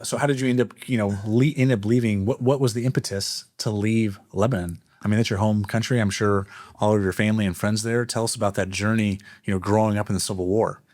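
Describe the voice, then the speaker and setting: A man's voice: fairly low pitch, steady tone, fast, few pauses, darkish tone. A man hosting a podcast interview, asking interested, encouraging questions. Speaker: podcast host.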